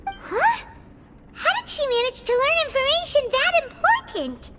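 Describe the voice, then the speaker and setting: A little childlike fairy companion from an anime game. The voice is extremely high and squeaky, swinging around with excitable, nosy energy. Prominent music plays underneath. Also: female voice, unhurried, exaggerated voice. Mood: surprised. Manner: excitable, refers to herself by name. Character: tiny chirpy childlike fairy companion girl, nosy and outspoken.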